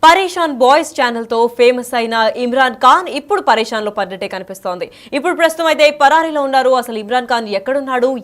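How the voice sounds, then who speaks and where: A woman's voice: high-pitched, a bit bright. A woman news anchor presenting live breaking news in a running, repetitive commentary, mixing in English words.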